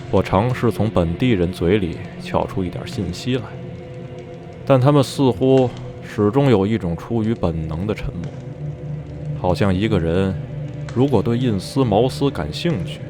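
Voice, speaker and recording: An actor playing a reflective man who recounts a journey from his youth in measured, literary radio drama narration. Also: deep voice. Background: music.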